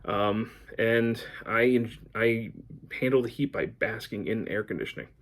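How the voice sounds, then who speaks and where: A man's voice: lowish voice, measured pace. A middle-aged American man chatting casually as a book vlogger, in a rambling, conversational way. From United States. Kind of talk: casual chat to camera, answering book prompts.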